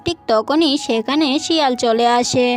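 A woman's voice: sits high, moderate pace. A woman narrating a scripted children's animal story, with music playing behind her.

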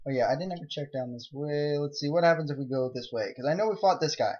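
A young man talks through his game in a loose, rambling way, cracking jokes and breaking into excited outbursts. His voice sits a little high for a man. He is recorded on a dull, lo-fi home mic. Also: quick pace. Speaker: let's play gamer. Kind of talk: casual game commentary.

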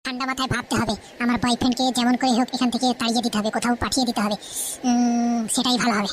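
A woman's voice: fairly high pitch, little pitch movement, fast talker, a little hiss or hum, close to an everyday voice. A woman voicing a whiny, theatrical and cunning young wife in a comedy cartoon. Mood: sly plotting. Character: scheming young wife, tearful and wheedling, secretly cunning. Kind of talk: comic cartoon dialogue.

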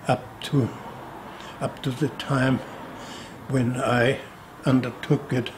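Slow-spoken older man. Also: medium pitch, little pitch movement, background noise. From Austria. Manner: slow, deliberate, explaining with pauses and restarts. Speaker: sculptor. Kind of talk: reflective interview answers. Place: indoor studio room.

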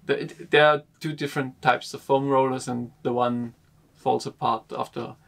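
A young man, a sailing vlogger, explaining a boat project to camera in a slow, halting way, repeating words, seated in a wooden boat cabin. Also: medium pitch, fairly even intonation, vocal fry, fairly bright tone.

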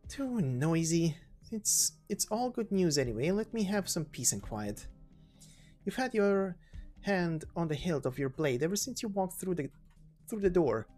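A woman's voice: lowish voice, big pitch swings, unhurried, warm tone, soft background music. A woman voicing a prickly, sardonic young female mercenary, evasive and defiant, in amateur game story acting. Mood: irritated, dismissive.

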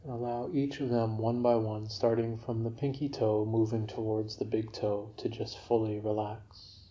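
A man guiding a yoga nidra relaxation for sleep in a gentle, unhurried, soothing manner. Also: lowish voice, flat delivery, muffled recording. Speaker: meditation guide.

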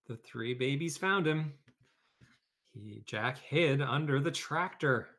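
A man's voice: medium pitch, expressive, takes it slowly, fairly bright tone. A man hosting a children's read-aloud lesson, speaking conversationally as an educator to pupils and teachers. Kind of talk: children's story read-aloud and informal classroom instruction.

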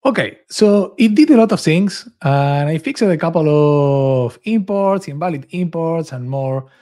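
Higher-pitched man presenting a coding tutorial. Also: animated, a little slow, warm tone. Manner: enthusiastic, informal. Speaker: software developer advocate, presenter. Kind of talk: screen-share coding tutorial, casual narration. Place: small home office indoors.

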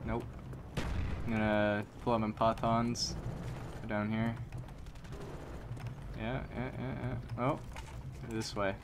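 A man's voice: on the low side, little pitch movement, slow pace, long pauses, background noise. Man commentating a shooter game over gunfire. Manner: chatty, yelling at the game in bursts. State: voice getting strained from yelling. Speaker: gameplay commentator. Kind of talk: live gameplay commentary. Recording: home mic under loud game gunfire.

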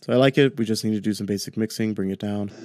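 A man's voice: fairly low pitch, fairly even intonation, quick pace, very noisy. A man in his twenties hosting a casual audio tutorial, explaining things in a chatty, informal way into a close desk microphone. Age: 20s. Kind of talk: casual screen-recorded tutorial. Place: small home room, indoors. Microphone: close desk microphone on boom arm.

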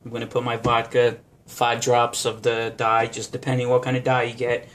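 A man's voice: mid-range voice, completely flat delivery, moderate pace, a bit bright. A man giving a casual how-to demonstration at a home bar counter, talking unhurriedly with many fillers. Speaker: vlogger.

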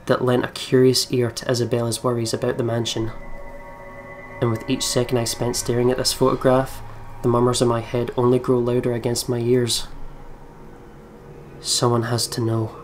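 A man narrates a visual novel in a medium-pitched voice and drops into casual chat between passages. Soft game music plays underneath. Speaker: let's player reading a visual novel aloud. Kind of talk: scripted narration mixed with casual commentary. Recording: music behind the voice.

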